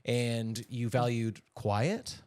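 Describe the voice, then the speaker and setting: A man's voice: mid-range voice, little pitch movement, extremely slow, darkish tone, no echo, studio quality. A man co-hosting a podcast in casual banter, with a playful, teasing manner. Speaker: podcast co-host.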